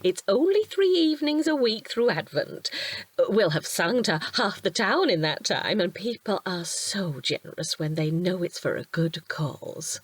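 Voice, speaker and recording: Chatty, lively British housewife. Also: female voice, medium pitch, breathy edge. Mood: brisk, defensive. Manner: chatty, brisk, gently chiding. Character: cheerful chatty middle-aged housewife who loves Christmas. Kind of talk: audio drama acting.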